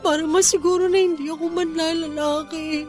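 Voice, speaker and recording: A woman's voice: extremely high pitch, steady tone, a little fast, darkish tone, soft background music. A woman with a Filipino accent playing an emotional, vulnerable young woman in a radio drama. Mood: tearful, frightened. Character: tearful battered young woman, frightened and clinging.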